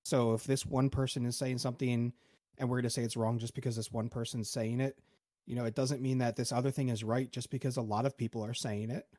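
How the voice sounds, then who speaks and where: A man calmly explaining ideas with examples in a casual podcast panel talk. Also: medium pitch, fast talker, dry recording, studio quality. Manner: calm, explanatory, gives examples.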